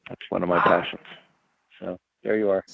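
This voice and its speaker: An older man introducing himself at a committee meeting over a call, halting with many fillers. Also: low voice, level tone, vocal fry, dry recording. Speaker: committee member. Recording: tinny phone-line audio.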